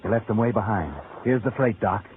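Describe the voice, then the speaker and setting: A man's voice: on the low side, a little fast, very noisy, lightly acted. A man playing a sinister, taunting narrator in a 1940s radio suspense drama, speaking insinuatingly and urgently to a fugitive. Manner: insinuating, urgent second-person address. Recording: tinny old radio, loud music behind.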